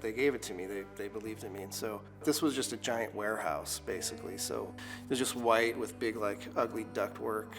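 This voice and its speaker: A man's voice: on the low side, brisk. Guy in his 40s chatting about his studio, loose and rambly, lots of likes and you knows, faint music under him. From United States. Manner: relaxed and rambling, many fillers and restarts. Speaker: recording studio owner. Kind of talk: casual documentary interview.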